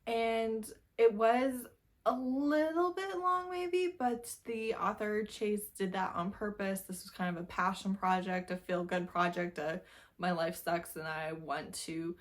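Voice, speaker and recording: A woman's voice: medium pitch, unhurried. A woman casually reviewing books as a video host, chatty and informal, leaning on repeated phrases for emphasis. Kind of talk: casual book review chat to camera.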